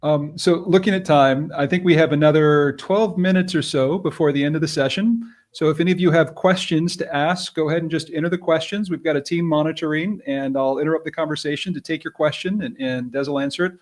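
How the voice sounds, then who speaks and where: A man's voice: a little high, fairly even intonation, a little fast, hardly any pauses. A man hosting a live interview session in an informal, chatty way. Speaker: interview host.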